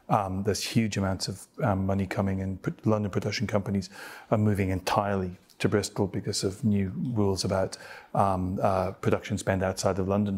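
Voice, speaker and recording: A man speaking on a panel in an event room before an audience, measured and thoughtful, with small restarts. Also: lowish voice, a little mellow, husky. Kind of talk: panel discussion.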